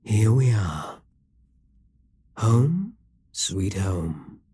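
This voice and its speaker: A man's voice: lowish voice, very animated, dark tone. Guy doing a scheming demon king, possessive, mocking, takes his time with every word, audio roleplay vibe. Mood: gloating. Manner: slow, menacing, mocking.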